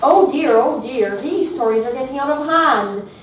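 A woman's voice: high-pitched, lively intonation, moderate pace, slight background noise. A young student playing a kindly witch counselor in a school play, with fussy, theatrical exclamations. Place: school drama room, indoors. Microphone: far camera. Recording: very echoey room from far off.

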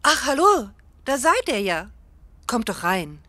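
A woman's voice: a little high, very animated, unhurried, noisy background. A young woman acting a bride-to-be aunt in an amateur radio play. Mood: welcoming. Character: worried bride, kindly aunt whose necklace vanished.

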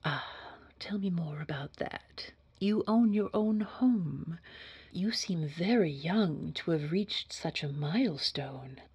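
A woman playing a secretive corporate boss in an audio drama, interviewing in a measured, formal, insinuating way with a breathy, whispery voice. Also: lowish voice, lively intonation, a little mellow, dull audio, exaggerated voice. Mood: probing, intrigued. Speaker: job interviewer. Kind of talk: scripted audio drama dialogue.

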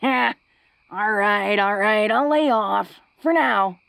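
A woman's voice: mid-range voice, extremely slow, creaky, fairly bright tone, no echo, exaggerated voice. A woman playing a tough, flirty, secretly awkward female orc in an intimate romantic audio roleplay, speaking in a hesitant, folksy, teasing way. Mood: bashful, affectionate.

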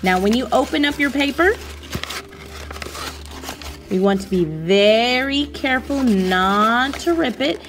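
A woman art teacher giving a slow, patient, step-by-step lesson to children over a video of her hands at work. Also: a little high, animated, background noise.

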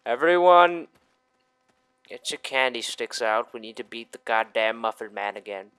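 A man's voice: mid-range voice, expressive, moderate pace, a bit bright, smooth. A young man giving casual, crude and exclamatory commentary while playing a video game. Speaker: gaming video host. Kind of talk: casual let's play commentary.

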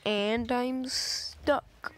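A young woman casually commenting on a driving game, voice a little raspy from just waking up, rambling in a playful way. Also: on the high side, steady tone, extremely slow, fairly bright tone, no room sound. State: slightly raspy, just woken up. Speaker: gameplay video maker. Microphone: phone screen recorder.

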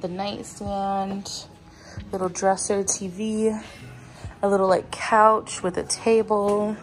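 A woman's voice: medium pitch, level tone, slow pace, soft background music, low-quality recording. A young woman in her twenties giving a casual travel vlog tour of a hotel room, filming on a phone held at arm's length. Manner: chatty, listing things offhand. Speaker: travel vlogger.

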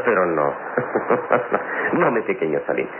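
A calm, amused hero of an old radio serial. His male voice is very low and swings expressively, measured and theatrical. It comes through a tinny, noisy old recording. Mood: calm, reflective. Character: calm, wise adult hero, amused and fatherly toward his young companion. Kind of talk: vintage radio drama acting.

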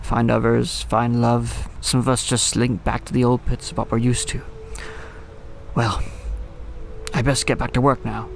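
Man playing a brooding old lighthouse keeper, gravelly. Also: medium pitch, little pitch movement, a little hiss or hum. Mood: melancholy. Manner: wistful, poetic, slow storytelling. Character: brooding, lonely older male lighthouse keeper. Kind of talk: audio drama acting. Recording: music under the voice.

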